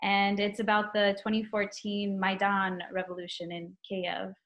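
A young American woman, a writer and professor, chatting in a relaxed, friendly way from her kitchen table over a video call. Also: mid-range voice, measured pace. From United States. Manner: friendly, relaxed, uses "sort of" and "um" a lot.

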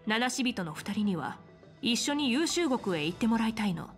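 Game general: woman, composed, authoritative, frank, measured delivery over background music. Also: on the high side, very animated. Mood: frank, confident. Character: confident, composed female military general in fantasy game. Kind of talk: dramatic Japanese game voice acting.

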